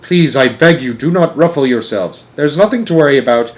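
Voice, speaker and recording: A man voicing a polite, unflappable and eccentric gentleman in a storybook, formal and a little exasperated. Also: medium pitch, expressive, a little fast, voice pushed up, natural voice. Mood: polite reassurance. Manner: courteous, formal, sighing.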